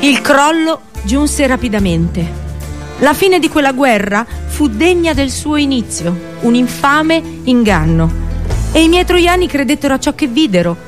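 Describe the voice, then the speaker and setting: A woman performing an intense theatrical radio monologue as an anguished, unheeded princess seer, in deliberate, broken phrases, in Italian radio drama style. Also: sits high, big pitch swings. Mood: urgent, bitter. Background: music.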